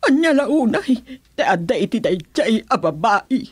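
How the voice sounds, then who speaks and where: Husky, anguished mother. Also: on the high side, big pitch swings, unhurried, no echo, exaggerated voice. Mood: distressed, protective. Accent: Filipino. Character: anguished, protective middle-aged mother of an accused son. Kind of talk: radio drama acting.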